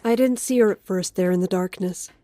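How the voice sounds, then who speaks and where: A woman playing a haunted narrator, telling a horror story in a measured, ominous way. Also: mid-range voice, mellow, dry recording, lightly acted. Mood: hushed dread. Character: haunted woman narrating her ghostly encounter.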